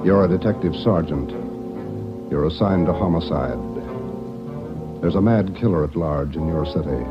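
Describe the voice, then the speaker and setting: A man announcing the dramatic opening of a 1940s radio crime show with a booming delivery. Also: normal speed, over loud music. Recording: dull old radio broadcast recording.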